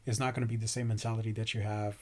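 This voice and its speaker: A young man whose first language is Portuguese, chatting casually as a podcast host on a boom-arm studio mic in a small home room, slangy and laid-back. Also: level tone, rapid-fire. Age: young adult. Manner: laid-back, slangy, says "you know what I mean" often. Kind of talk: casual chat.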